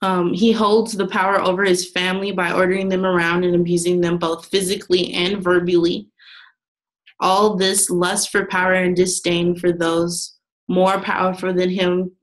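A young woman reads a class presentation off her slides in a fairly low voice. Her delivery stays flat and even, with the odd restart. It sounds like a student working through prepared notes. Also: low-quality recording.

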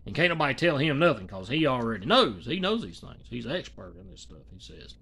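A man's voice: mid-range voice, big pitch swings, a little fast, gravelly, sharp tone, breathy edge. A man in his thirties rambling in casual, colloquial commentary, full of restarts and asides, filmed close up in a small dim room. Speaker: commentary vlogger. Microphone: phone or webcam close to face.

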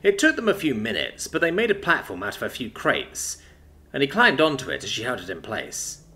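A man reading a science fiction story aloud as its narrator, with a steady storytelling delivery. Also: medium pitch, brisk.

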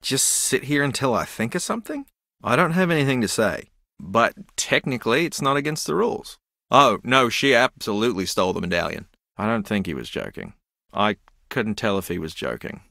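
An actor playing a slow-witted, good-natured ex-rugby player in a video game, earnest, literal and befuddled. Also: male voice, medium pitch, very animated, gravelly, bright, forceful tone, no echo, clean studio sound, full character voice.

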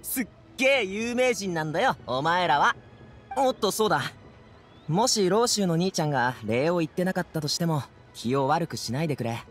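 男性が演じる高めの声の快活な若者. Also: male voice, a little high, animated, normal speed, soft background music, close to an everyday voice. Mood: friendly. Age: young adult. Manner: casual, breezy, boyish slang. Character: upbeat, friendly young man, transport guard. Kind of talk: Japanese anime game voice acting.

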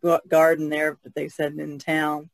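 A woman talking on a podcast in a hesitant, halting way, with fillers and small laughs. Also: deep voice, completely flat delivery, vocal fry, no echo. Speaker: podcast guest. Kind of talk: casual discussion.

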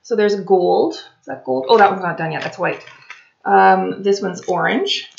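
Woman, 40s, slightly raspy, chatty and rambling, home webcam vlog, muffled lo-fi sound. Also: mid-range voice, a little slow. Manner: chatty, rambling, many fillers. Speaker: craft and tarot vlogger. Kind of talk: casual chat while showing craft pages. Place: home dining room by a china cabinet. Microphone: webcam at desk. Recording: slightly dull lo-fi webcam audio.

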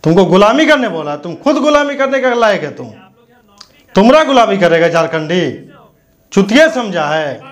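Guy in his 30s, high voice, sweary scornful political rant into a lapel mic. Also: sing-song, normal speed. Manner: scornful, abusive, confrontational. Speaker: news commentator. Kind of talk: combative political rant to camera.

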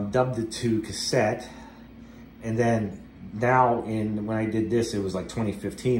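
A middle-aged man giving a casual, rambling demonstration as a vintage gear hobbyist. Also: on the low side. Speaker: vintage tape recorder collector demonstrating a machine. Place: home dining room. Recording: roomy echo, steady background noise.

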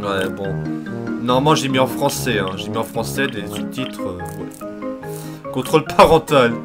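A man's voice: medium pitch, animated, normal speed. A young man giving casual, crude, irritated gameplay commentary as a let's play host. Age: young adult. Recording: loud game music behind the voice. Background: video game music.

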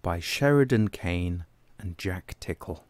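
A man reading a children's picture book aloud as an expressive, deliberate narrator. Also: low-pitched, dry recording. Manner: animated, deliberate. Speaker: storybook reader.

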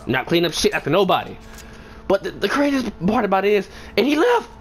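A man's voice: high voice, big pitch swings, fast talker. A young man telling a casual, rambling story for a gaming video, swearing often in slangy speech and getting emphatic when indignant. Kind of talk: casual storytime over gameplay. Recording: clear background noise and ambience.

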